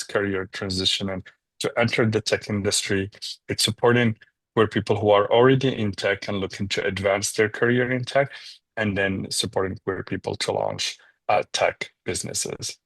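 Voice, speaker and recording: Low-voiced man, dry close sound. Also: husky, no echo, rough recording. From Morocco. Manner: reflective, with frequent "um" and "right?". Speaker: founder of a nonprofit. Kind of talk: conversational interview answers.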